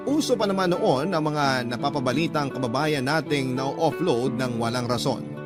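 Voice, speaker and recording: A man's voice: mid-range voice, natural voice. An actor playing an earnest young Filipino man narrating his own story in a scripted radio drama style, with a Filipino accent. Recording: music bed under narration.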